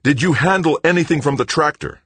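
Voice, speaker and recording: A man's voice: lowish voice, moderate pace, a bit bright, dry recording, voice pushed up. A man voicing a commanding moon base boss, terse and urgent, in an audiobook. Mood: frantic. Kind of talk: audiobook character voice.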